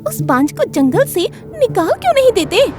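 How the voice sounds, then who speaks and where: A woman voicing a cruel, gossiping female monkey in an animated fable, taunting and dismissive. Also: extremely high pitch, normal speed, over music, voice pushed up. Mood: mocking.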